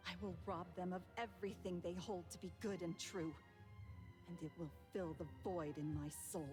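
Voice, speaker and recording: An actress playing a bitter, cunning woman thief who speaks in a measured, deliberate way in a video game. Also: fairly low pitch, steady tone, close to an everyday voice. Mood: cold, resentful. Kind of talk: dramatic video game voice acting. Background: game music.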